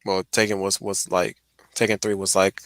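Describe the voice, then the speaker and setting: A man's voice: low-pitched, flat delivery, moderate pace, a bit bright, no room sound. A man chatting casually on a livestream as he reacts to a video, often repeating words as he finds his way. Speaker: stream host.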